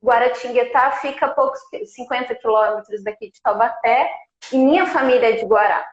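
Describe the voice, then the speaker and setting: A woman's voice: a little high, fairly quick. A woman of forty, a guest nutritionist telling her story in a conversational way over a remote call. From Brazil. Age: 40s. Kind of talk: casual live chat. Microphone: remote video call. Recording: echoey, dull remote call audio.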